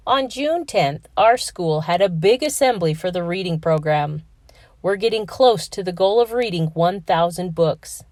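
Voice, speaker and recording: A woman reading a children's picture book aloud with expressive storytelling. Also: fairly low pitch, dry recording, studio quality.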